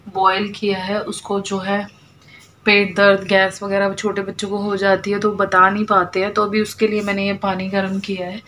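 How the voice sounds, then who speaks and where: A woman in her thirties with a cold and a hoarse voice, chatting casually through a home kitchen vlog, filmed on a phone. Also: medium pitch. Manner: rambling, chatty, lots of fillers. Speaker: vlogger and housewife. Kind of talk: casual daily vlog chat. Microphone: phone camera, selfie then propped up across the room. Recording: noisy phone recording, pump motor running.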